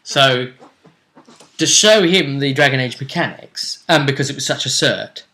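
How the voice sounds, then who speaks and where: Bright-voiced man recapping a game. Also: mid-range voice, measured pace. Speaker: game master and host. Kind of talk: casual recap of a tabletop role-playing game.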